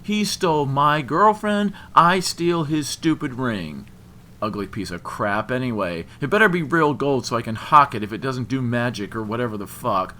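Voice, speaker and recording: A man's voice: mid-range voice, expressive, moderate pace, bright, forceful tone, pitched above the performer's own voice. A man voicing a drunken, bitter young man in an audiobook, growling and taunting crudely. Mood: angry, defiant. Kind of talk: audiobook character dialogue.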